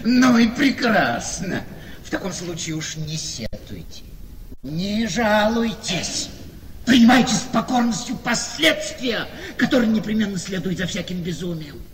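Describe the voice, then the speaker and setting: Cynical, slow, theatrical gentleman. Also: high-pitched, expressive. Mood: sardonic, lecturing. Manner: deliberate, sardonic, chuckling, theatrical. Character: cynical, mocking gentleman needling a friend's young wife. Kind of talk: Russian classic radio play acting. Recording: reverberant hall-like studio, faint noise.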